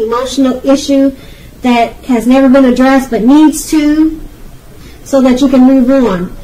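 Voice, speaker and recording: A woman's voice: high-pitched, slight background noise. A woman giving an unscripted tarot reading, speaking to the viewer in a reassuring, advising way with hesitations and repeated phrases. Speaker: tarot card reader. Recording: voice distant from the mic.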